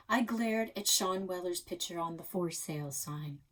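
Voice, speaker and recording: Woman, lowish pitch, children's read-aloud, expressive storyteller. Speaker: library read-aloud host.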